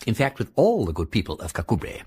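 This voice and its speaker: A breezy upper-class English gentleman sleuth, swooping voice. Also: on the low side, wildly swooping pitch, fairly quick, breathy edge, heavily acted. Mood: breezy, sly. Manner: breezy, rambling, repeats phrases for emphasis. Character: jovial, chatty aristocratic English gentleman detective, deceptively silly. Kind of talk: radio drama acting.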